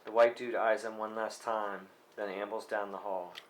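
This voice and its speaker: With faint noise behind him, a man with a slightly low voice reads stage directions. His delivery is plain scripted narration with small stumbles, as at a live table read. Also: level tone, moderate pace. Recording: faintly noisy live room recording.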